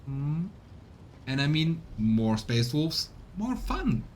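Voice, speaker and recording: A man streaming casual let's play commentary, thinking aloud tentatively over faint low rumbling noise. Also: big pitch swings, takes it slowly, a little mellow. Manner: musing, tentative.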